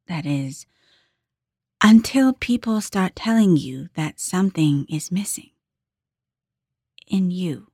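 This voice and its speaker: A woman acting as a daughter voicing her deaf diver mother's story in a measured, intimate dramatic monologue with deliberate pauses. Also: mid-range voice, darkish tone, dry recording, clean studio sound. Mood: quietly defiant.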